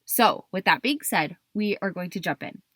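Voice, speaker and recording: A young woman hosting her own podcast while stuffy and hoarse from a cold, talking in a chatty way with frequent restarts. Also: on the low side, animated, moderate pace, fairly bright tone, no room sound, studio quality. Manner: chatty, restarts and hedges with kind of. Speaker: podcast host. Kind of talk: solo podcast talk.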